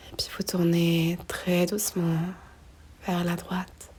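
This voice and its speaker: A woman guiding a slow meditation in a soft, breathy near-whisper, gently giving unhurried instructions with long pauses. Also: fairly low pitch, little pitch movement, a little hiss or hum. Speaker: yoga nidra guide.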